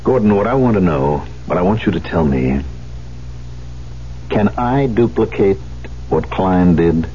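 An actor playing a proud, embittered concert pianist in a 1970s radio drama, with a theatrical, emphatic delivery. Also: male voice, low-pitched, lively intonation, unhurried, long pauses, dark tone. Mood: earnest, pleading. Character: proud, embittered concert pianist who lost his hand. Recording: muffled, hissy old radio broadcast.

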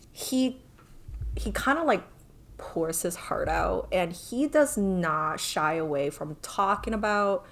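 A woman co-hosting a podcast, chatting in a thoughtful, casual way with lots of filler words. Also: medium pitch. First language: not Korean. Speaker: podcast co-host. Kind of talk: casual chat.

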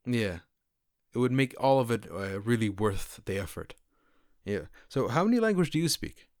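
A woman's voice: a bass voice, big pitch swings, moderate pace, darkish tone, no room sound, clean studio sound. A woman hosting an interview, encouraging her guest and asking follow-up questions. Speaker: podcast host. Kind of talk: casual interview conversation.

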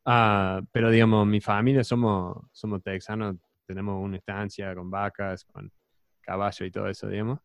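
A man from Texas, on as the guest in a relaxed chat. He speaks haltingly, stopping and restarting as he hunts for each word. His voice is recorded close and dry, with no room sound. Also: fairly low pitch, clean tone, no echo. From Texas, United States. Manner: halting, searching for words. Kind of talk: casual podcast chat.

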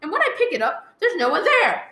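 Shrill, frantic invalid wife. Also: female voice, huge pitch swings, fast, bright, forceful tone, full character voice. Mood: indignant. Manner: shrill, agitated, stammering, complaining. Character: nervous, demanding, imperious bedridden invalid wife. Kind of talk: old-time radio thriller acting. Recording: roomy, echoing live recording.

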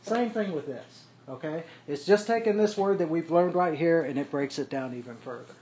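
A man's voice: fairly high pitch, moderate pace, darkish tone. A man leading a small Bible study, explaining word-study tools step by step and checking in with the group as he goes. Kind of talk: casual teaching to a small group. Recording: echoey room, faint background noise.

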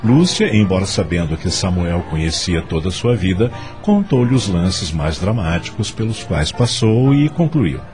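A man's voice: low voice, sing-song, normal speed, few pauses, darkish tone, faint music behind. A man narrating a scripted radio drama as its storyteller.

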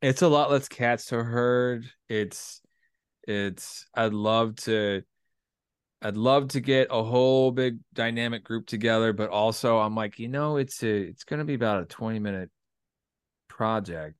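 A man hosts a casual podcast in a rather low-to-middle voice. He rambles and hesitates, poking fun at himself. The sound is close and dry, with no room around it. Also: a little slow, smooth, no echo. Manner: hesitant, rambling, self-deprecating. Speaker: podcast host. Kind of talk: casual chat.

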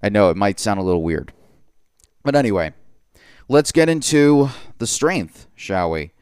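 A man's voice: sing-song, a little slow, a little mellow, clean tone, no room sound, clean studio sound. A man hosting his own podcast, talking in a rambling, earnest way and correcting himself often. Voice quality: voice lower than usual today. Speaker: podcast host. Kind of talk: solo podcast monologue.

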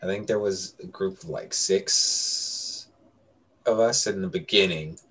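A man's voice: sits low, unhurried, pushed and bright, breathy edge. A young adult man telling a story casually to friends, rambling and correcting himself as he goes. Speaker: podcast co-host telling a story.

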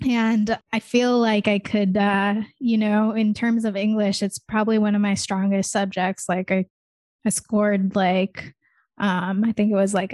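A woman's voice: mid-range voice, a little mellow, no room sound. Earnest young American woman, dry sound. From Michigan, United States. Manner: earnest, thoughtful, many "like" fillers. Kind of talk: casual conversation.

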